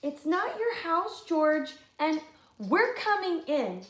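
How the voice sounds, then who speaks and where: Storybook role: plucky, protesting small girl, read by a woman, high and lively, roomy sound. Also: slow pace, full character voice. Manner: protesting, emphatic. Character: assertive little girl standing up to a bossy boy.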